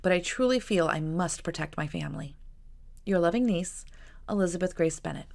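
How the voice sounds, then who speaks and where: Woman reading as firm, creaky-voiced headstrong young sister. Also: fairly low pitch, animated, fairly quick. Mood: formal, earnest. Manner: firm, insistent, formal. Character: headstrong, protective young sister in Regency novel.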